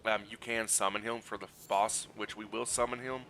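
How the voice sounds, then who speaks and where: A man streaming a video game while sick, hoarse and scratchy, talking through his play in a relaxed, explanatory way and greeting his chat. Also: moderate pace. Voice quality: scratchy, low energy. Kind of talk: casual gameplay walkthrough commentary.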